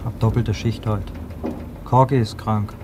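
An actor playing a weary, deferential lift attendant in a 1970s German radio crime drama. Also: male voice, on the low side, fairly even intonation, measured pace, natural voice. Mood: weary, polite. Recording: noisy archival radio drama with background ambience.

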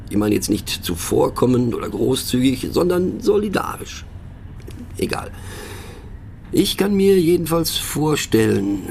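A thoughtful, sardonic radio-play narrator with a gravelly, creaky voice of medium pitch. He muses aloud and puts rhetorical questions straight to the listener, slipping in conspiratorial asides. A faint noise sits behind the voice. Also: a little mellow. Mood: ironic, declaiming. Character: pensive, mocking male narrator musing on abstract words.

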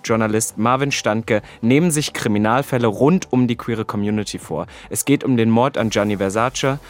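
A German man hosting a podcast, chatting casually and teasing his guest. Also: mid-range voice. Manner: playful, teasing. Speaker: podcast host. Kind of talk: casual chat.

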